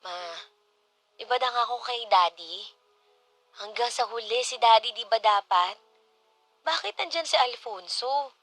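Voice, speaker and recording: A woman's voice: on the high side, lively intonation, unhurried, long pauses, fairly bright tone. A woman playing a headstrong, suspicious adult daughter in a Tagalog radio drama. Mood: hurt, protesting.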